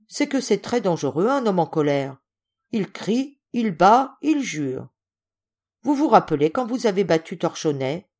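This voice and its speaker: A woman's voice: mid-range voice, sing-song, a little slow, a little mellow. A woman voicing a small, timid and candid little boy in a storybook, polite and naive. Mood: pleading. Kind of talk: acted storybook reading.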